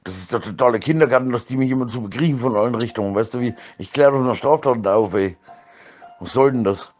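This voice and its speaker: Middle-aged man, medium pitch, casual and slangy, rambling voice-message delivery with frequent repeats. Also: fast, a little mellow, soft background music.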